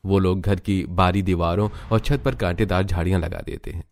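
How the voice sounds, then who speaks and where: A man reading scripted Hindi narration for an animated family story as its narrator. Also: low-pitched, brisk. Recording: music behind the narration.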